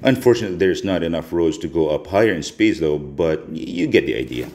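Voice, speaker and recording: A man's voice: a bass voice, lively intonation, quick pace, darkish tone. A man from the Philippines giving a casual, conversational voice-over review as a motorcycle vlogger. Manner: conversational, informal.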